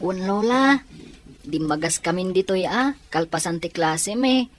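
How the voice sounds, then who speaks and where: A woman's voice: fairly low pitch, expressive, a little slow. A woman playing a polite, earnest schoolchild in an Ilocano audio drama, speaking respectfully. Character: polite, grateful schoolchild living with widowed father.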